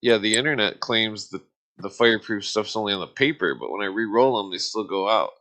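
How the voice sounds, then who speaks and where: A man's voice: lowish voice, a little fast, pushed and bright. A man hosting a casual livestream chat, talking in a rambling, profane way. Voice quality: smoker's cough. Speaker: livestream host.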